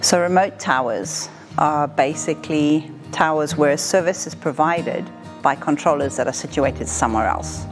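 A woman in her forties from southern Africa, talking in a measured, matter-of-fact way as an air traffic controller being interviewed. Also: low-pitched, over music. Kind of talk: explanatory interview talk. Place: indoor room with wood-panelled wall.

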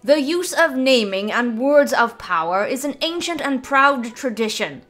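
A woman's voice: high-pitched, lively intonation, fairly bright tone, pitched above the performer's own voice. Proud old wizard voiced by a woman, stiff and testy, faint music behind. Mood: lecturing. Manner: measured, prim and huffy. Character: old male wizard, proud and easily annoyed. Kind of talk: storybook reading.

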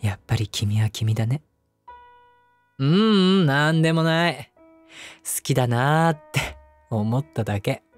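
A man plays a loving young husband in an intimate audio drama. His medium-pitched voice is gentle and affectionate, addressed straight to his wife, the listener. Soft music plays faintly behind him. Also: measured pace, long pauses, close to an everyday voice. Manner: gentle, affectionate, softly chuckling between phrases. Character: devoted, tender young husband comforting his forgetful wife. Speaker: voice actor. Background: background music.

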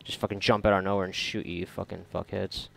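Low, creaky-voiced man commenting on games. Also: level tone, quick pace, a bit bright. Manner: sarcastic, profane, muttering to himself. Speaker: gamer doing a playthrough. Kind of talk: casual gameplay commentary.

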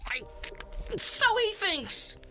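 An actor playing a nervous, fawning male alien agent who stammers and flatters ornately in a comic radio drama. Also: extremely high pitch, very slow, vocal fry, whispered, heavily acted. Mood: fawning, scheming. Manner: obsequious, stammering, ornate wording.

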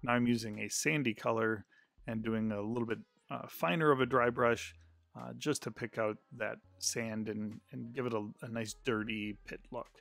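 A man's voice: mid-range voice, steady tone, normal speed, creaky, a bit bright, dry recording, soft background music. A man narrating a step-by-step crafting tutorial in a plain, explanatory voice-over with frequent filler sounds.

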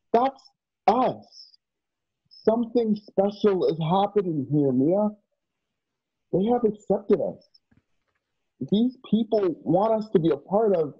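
An actor playing an earnest, excitable young man with folksy rural speech in a radio play. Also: high-pitched, breathy edge, heavily acted. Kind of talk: remote radio play acting. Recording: dull lo-fi home microphone.

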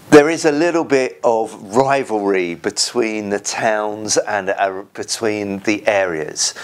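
Slow-paced English minister preaching. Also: male voice, fairly low pitch. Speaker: church minister. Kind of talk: informal sermon to camera. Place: indoors, small room at home. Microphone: lapel mic.

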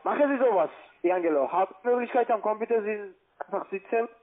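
A man with a foreign accent, not a native German speaker, giving pushy phone instructions as a call-centre agent in halting, broken phrasing. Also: high voice, expressive. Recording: muffled lo-fi phone line.

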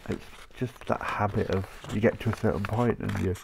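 A man's voice: on the low side, steady tone, fairly quick, gravelly, noisy background. A man with a chesty cough, chatting in a rambling way and laughing often while cutting paper at his craft desk. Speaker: craft channel host. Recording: paper crinkling close by.

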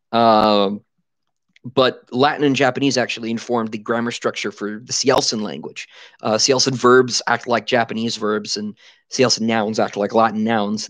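Guy chatting on a headset mic in a small home room, lively, lots of ums, dry sound. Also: mid-range voice, no room sound. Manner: animated, gesturing, many fillers and restarts. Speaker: author answering viewer questions. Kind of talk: casual livestream Q&A. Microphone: headset boom mic.

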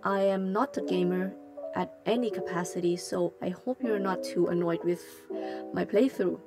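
A woman's voice: fairly low pitch, normal speed. Woman, non-native English speaker, let's play host, self-conscious, slightly awkward, music playing under the voice. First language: not English. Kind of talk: casual gameplay commentary and translation.

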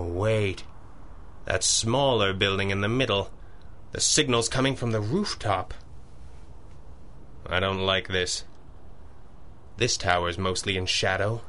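A man's voice: on the low side, bright, forceful tone. An actor playing a determined masked vigilante hero in a 1930s-style radio drama, narrating his own actions with melodramatic urgency.

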